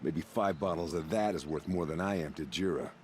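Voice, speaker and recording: A hapless male trader and brewer in a video game. His voice is low, his delivery rueful and self-deprecating. Soft music sits behind him. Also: a little fast. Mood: rueful, relieved.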